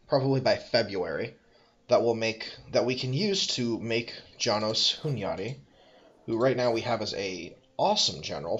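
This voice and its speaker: Low-voiced man musing over a strategy game. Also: highs cut off. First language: English. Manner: musing, self-correcting, dry asides. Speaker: let's play host. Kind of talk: thinking-aloud strategy game commentary.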